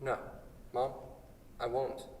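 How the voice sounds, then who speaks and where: A man playing an earnest, protective college-age son in a plain, low-key way in a staged play reading. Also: monotone, slow pace, darkish tone, a little hiss or hum, close to an everyday voice. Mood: worried, reluctant. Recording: distant, echoey hall.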